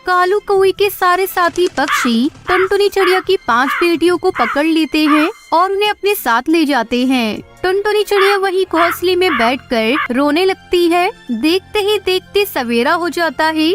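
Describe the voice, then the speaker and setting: Very high-pitched woman narrating a children's story. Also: animated, normal speed, hardly any pauses, a bit bright, faint music behind.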